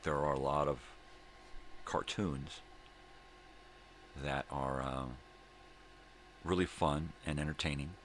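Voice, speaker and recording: A middle-aged man with a gravelly voice, rambling casually and folksily as he hosts a morning vlog, often repeating himself. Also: steady tone, takes it slowly, pauses often. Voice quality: gravelly, very deep. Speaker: host of a daily vlog. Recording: clear background room noise.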